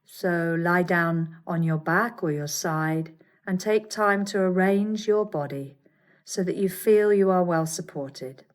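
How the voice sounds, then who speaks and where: A woman teaching yoga nidra, guiding a meditation with soothing, measured instructions and gentle pauses. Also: fairly low pitch. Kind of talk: guided meditation.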